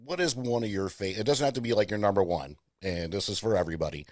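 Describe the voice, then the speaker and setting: Low-voiced chatty podcast host, man. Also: fast talker, no echo, studio quality. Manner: chatty, stumbling restarts. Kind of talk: casual press-day interview.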